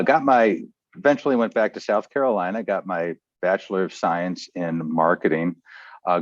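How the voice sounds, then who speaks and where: A man's voice: low-pitched, no echo. A middle-aged man, an educator, reflectively telling his life story as a podcast guest. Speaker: educator and entrepreneur. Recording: dull, lo-fi audio.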